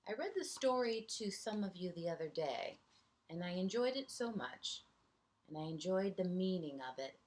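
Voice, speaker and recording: A woman's voice: on the low side, animated, moderate pace, a little mellow. A woman teacher reading a picture book aloud to young children, expressively, with asides and questions to her listeners.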